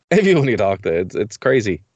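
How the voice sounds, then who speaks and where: A man's voice: lowish voice, sing-song, moderate pace, darkish tone, no room sound. A young Irish man co-hosting a community radio show, chatty and rambling, laughing easily between points. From Ireland. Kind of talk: casual radio chat.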